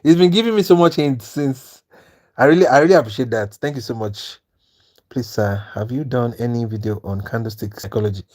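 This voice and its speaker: A man's voice: medium pitch, fairly quick, darkish tone. A man from Nigeria with a Nigerian accent, hosting a trading livestream and talking earnestly and persuasively to viewers in the chat. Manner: earnest, persuasive, repeats "you understand?". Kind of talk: live-stream talk to chat viewers.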